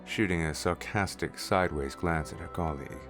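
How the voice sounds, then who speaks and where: A man's voice: low-pitched, fairly quick, fairly bright tone, soft background music. A man narrating a scripted story in the third person.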